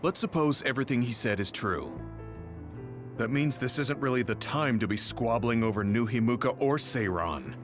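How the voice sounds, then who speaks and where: Casting: male actor for pragmatic game squadmate, medium-pitched, serious and sober, clear music underneath. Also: moderate pace, lightly acted. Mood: reasoning. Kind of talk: English video game dub acting.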